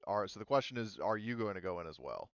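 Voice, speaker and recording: A man running a tabletop roleplaying game as game master in casual talk, thinking aloud with many pauses. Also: fairly low pitch, very animated, smooth, no echo, highs cut off.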